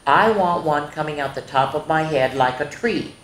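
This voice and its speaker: A stubborn storybook schoolgirl, acted out by a woman reading aloud, blunt and emphatic in everything she says. Her voice sits fairly low for a girl and rings in a roomy, echoing space. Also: moderate pace, lightly acted. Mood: stubborn, defiant. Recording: roomy, echoing indoor sound.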